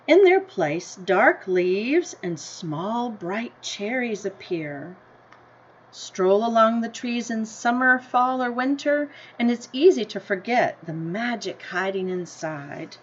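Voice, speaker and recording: Slow-paced American woman reading aloud, muffled recording. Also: medium pitch. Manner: deliberate storybook reading, asking listeners questions and explaining simply. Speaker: teacher reading a picture book aloud to children. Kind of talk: read-aloud with simple explanatory asides. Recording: dull lo-fi sound with noticeable background noise.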